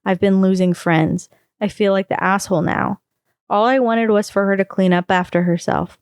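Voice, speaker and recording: A woman reading a long first-person post aloud on a podcast, in a steady storytelling manner. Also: mid-range voice, normal speed, clean tone, no room sound, clean studio sound.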